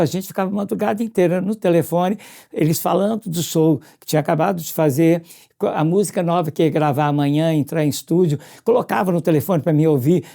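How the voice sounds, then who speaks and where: A man's voice: a little high, gravelly, mellow. An older Brazilian man, a radio music presenter, reminiscing casually in an interview.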